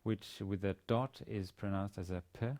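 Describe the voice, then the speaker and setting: A man in his forties, a native Danish speaker with a Danish accent, giving an instructional lecture in a halting, hesitant manner with repeated words. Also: sits low, fairly even intonation, darkish tone. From Denmark. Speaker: university language teacher. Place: indoor studio with plain grey backdrop.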